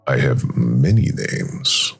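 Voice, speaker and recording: A man voicing an ancient, eerie three-headed greyhound familiar in an audio drama, speaking slowly and ominously in a gravelly rumble. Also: sing-song, soft background music, exaggerated voice. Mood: eerie, inviting. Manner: slow, ominous, deliberate.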